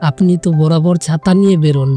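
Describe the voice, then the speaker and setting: A tender young man full of longing, played by an actor in a romantic audio drama. His voice is dark and mellow, gentle and hesitant, with music playing behind it. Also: fairly high pitch, fast, lightly acted. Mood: gently curious. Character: tender, longing young man, nameless coffee-shop companion.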